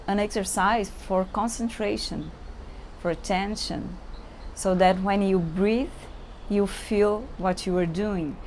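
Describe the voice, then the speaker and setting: A woman's voice: mid-range voice, a little mellow, a little hiss or hum. Brazilian woman, hesitant non-native English. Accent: Brazilian Portuguese. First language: Portuguese. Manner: hesitant, searching for English words, many fillers. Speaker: yoga teacher. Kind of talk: unscripted yoga introduction to camera. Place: indoors at home, seated on a chair.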